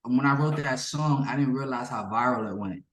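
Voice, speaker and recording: A native Yoruba-speaking man with a medium-pitched voice answers questions as a musician. He is casual and slangy, often doubling back on his words. The room around his voice is echoey. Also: moderate pace. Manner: informal, slangy, repeats himself. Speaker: musician being interviewed. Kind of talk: casual interview chat.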